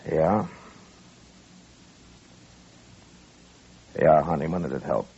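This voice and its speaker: An actor playing a stern, weary American frontier marshal, blunt and measured, in 1950s radio western style. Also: male voice, very deep voice, gravelly, dark tone, husky, exaggerated voice. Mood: softened. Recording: muffled old-time radio recording.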